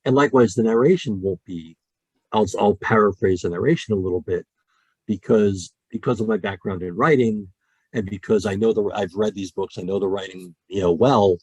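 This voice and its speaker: A man with a low, husky voice speaks eagerly about his craft, restarting sentences as his thoughts run ahead. He sounds close and dry, with no room around him. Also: normal speed, dry recording, rough recording. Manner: animated, many restarts, passionate about storytelling. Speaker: guest voice actor. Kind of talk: casual podcast conversation.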